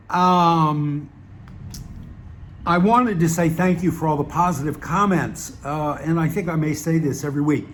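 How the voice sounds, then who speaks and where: A man with a Southern American accent talks to his viewers in a loose, rambling monologue. His voice sits a little high for a man, and he drifts between dry asides, fillers and self-corrections. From Southern United States. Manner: wry, digressive, many fillers and restarts. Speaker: channel host.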